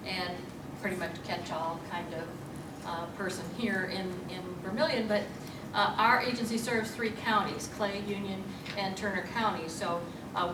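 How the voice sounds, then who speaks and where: A woman who directs a victims' advocacy agency, speaking earnestly to an audience with many pauses and fillers. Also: mid-range voice. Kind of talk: panel introduction to an audience. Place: hall with a live audience. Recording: echoey room, distant mic, loud hiss.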